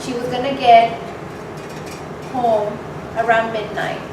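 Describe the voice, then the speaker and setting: A woman's voice: on the high side, slow. Woman speaker from Mexico, echoey distant hall. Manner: candid, personal, animated retelling. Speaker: guest speaker. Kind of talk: storytelling talk to an audience. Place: event hall with audience. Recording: echoey hall, mic from far off, noisy.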